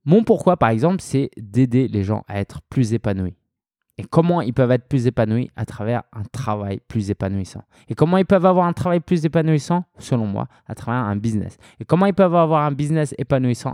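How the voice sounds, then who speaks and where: Mellow-voiced French man hosting a podcast. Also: mid-range voice, no room sound, studio quality. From France. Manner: conversational, addresses listener directly with many fillers. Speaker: podcast host. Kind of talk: solo business podcast monologue.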